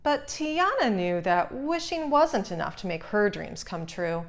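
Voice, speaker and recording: A woman's voice: mid-range voice, big pitch swings, unhurried. A woman narrating a scripted children's story as a read-aloud. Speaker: storybook reader.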